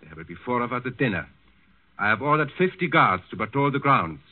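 Casting: a suspicious, commanding wartime Nazi boss, mid-pitched, impatient, narrow old radio sound. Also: male voice, very animated, brisk. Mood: calculating. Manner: curt, authoritative, impatient. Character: commanding, suspicious male Nazi regional governor. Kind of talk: 1940s radio acting. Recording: tinny old 1940s radio recording.

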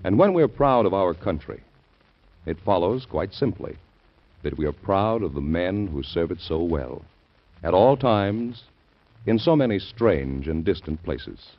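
A man announcing in a solemn, measured way during a 1940s radio broadcast, on a dull old recording. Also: deep voice, big pitch swings.

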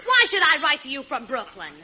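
A scornful young girlfriend in vintage radio comedy. Her very high voice delivers biting, scolding wisecracks over a muffled, hissy old recording. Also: wildly swooping pitch, brisk. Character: sharp-tongued, jealous, scornful young girlfriend, twenty-five. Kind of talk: 1940s radio comedy acting.

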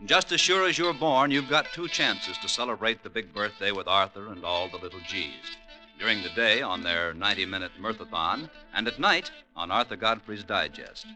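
A man's voice: fairly low pitch, expressive, normal speed, poor audio. An American man announcing credits and promos in an emphatic, polished 1950s radio style, on a vintage broadcast recording with music behind him.

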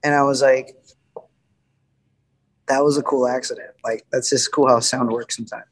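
A man's voice: mid-range voice, steady tone, normal speed, rough recording. A young adult man, a musician, chatting casually about his album, rambling with lots of fillers and humming guitar parts aloud. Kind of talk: casual podcast chat.

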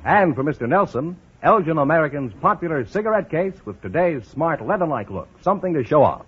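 Lively high-pitched male vintage radio announcer. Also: fairly quick, husky. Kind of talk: 1940s radio announcing and prize copy. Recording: dull, noisy vintage radio recording.

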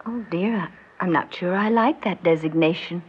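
A woman's voice: lowish voice, normal speed, husky. An actress in an old radio drama playing a vain, proud middle-aged grandmother who frets about growing old. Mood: indignant, amused. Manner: proud, fretful, theatrical. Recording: muffled old radio recording.

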